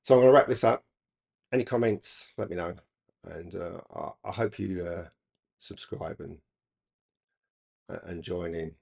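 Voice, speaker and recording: A man's voice: sits low, measured pace, creaky, long pauses, darkish tone. A middle-aged British man talking casually and unscripted as a hobbyist video host, rambling hesitantly and repeating words as he goes. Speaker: YouTube radio hobbyist host. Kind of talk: casual unscripted vlog talk.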